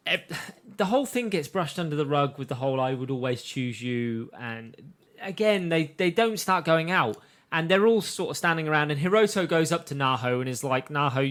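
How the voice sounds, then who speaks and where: A man's voice: fairly high pitch, fairly bright tone. A young man co-hosting a podcast, casually and thoughtfully retelling scenes from a show. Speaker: podcast co-host. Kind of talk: casual chat recapping an anime.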